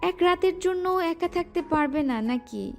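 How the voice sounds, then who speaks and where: A woman's voice: very high-pitched, lively intonation, measured pace, full character voice. A female voice actor playing a shy, teasing young wife in an audio romance drama. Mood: scolding. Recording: music bed underneath.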